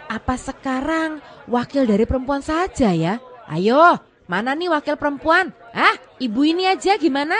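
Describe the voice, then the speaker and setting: A woman playing a lively forum moderator who playfully coaxes and rallies a shy public, with a crowd around her. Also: sits high, normal speed. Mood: teasing, coaxing. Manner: coaxing, rallying, playful. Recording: crowd noise behind.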